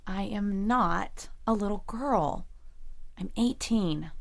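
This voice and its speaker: A woman's voice: medium pitch, takes it slowly, vocal fry. A young woman acting in an audio drama as a defiant, lovestruck eighteen-year-old college girl. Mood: stubborn.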